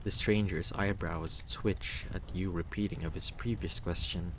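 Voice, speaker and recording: Male story narrator, low register, unhurried delivery, reading game text, faint background noise. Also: fairly even intonation, fairly bright tone.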